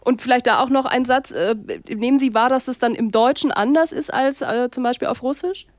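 A woman's voice: high-pitched, a little fast, no room sound. A woman hosting a radio phone-in, asking polite, probing questions. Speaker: radio host. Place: radio studio.

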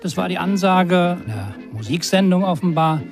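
A man, a scholar, explaining hesitantly in an interview with a husky voice, searching for words. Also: sits high, lively intonation, normal speed, loud music behind the voice. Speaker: communication scholar.

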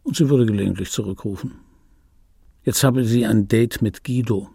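A middle-aged man playing an aging, melancholic dubbing actor in a radio play monologue, gravelly and deadpan, with wry wounded pride. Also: fairly low pitch, normal speed. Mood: laconic, bitter. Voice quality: gravelly, husky. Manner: laconic, deadpan.

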